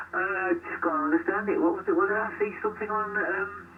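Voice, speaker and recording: A man's voice: sits high, steady tone, normal speed. Tinny, noisy shortwave voice of man. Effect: shortwave radio transmission. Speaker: amateur radio operator. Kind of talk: casual chat over ham radio. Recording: tinny, distant, noisy shortwave radio reception.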